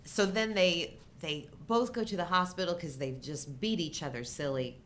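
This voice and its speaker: A middle-aged woman with a bright, forceful voice that sits a little low chats casually as a show co-host. Her talk is informal and comes in halting fragments, with some rumbly noise in the recording. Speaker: co-host of a live chat show. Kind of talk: casual movie chat.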